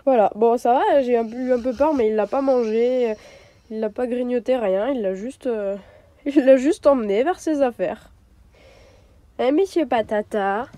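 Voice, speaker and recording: Young woman vlogger with a cold, hesitant casual chat on a phone mic, higher voice with a mellow tone. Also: moderate pace, dry recording. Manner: chatty, hesitant with many fillers. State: stuffy nose from a cold. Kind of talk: casual vlog chat to camera.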